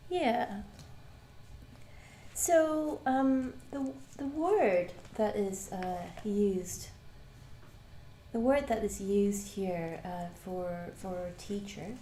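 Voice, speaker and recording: A woman from Malaysia, teaching a live Buddhist class and asking the group questions, pausing often with ums and restarts. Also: mid-range voice, warm tone, a little hiss or hum. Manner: hesitant, many ums and restarts. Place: shrine room with a live class. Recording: roomy hall ambience.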